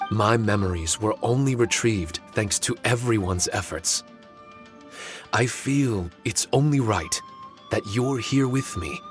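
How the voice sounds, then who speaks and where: A man voicing a courteous, solemn ancient guardian spirit in an anime game, speaking formally and in a measured way. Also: fairly low pitch, lightly acted. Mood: earnest, grateful.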